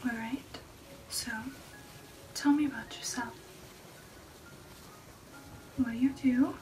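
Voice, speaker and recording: A woman voicing a refined, affectionate female vampire in an intimate ASMR roleplay, with a breathy whisper and a genteel manner. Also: on the high side, steady tone, slow, a little mellow, far from the mic, a little hiss or hum, poor audio. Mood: coy, curious. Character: refined, affectionate female vampire recounting an old shipboard romance. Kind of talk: ASMR roleplay, personal attention.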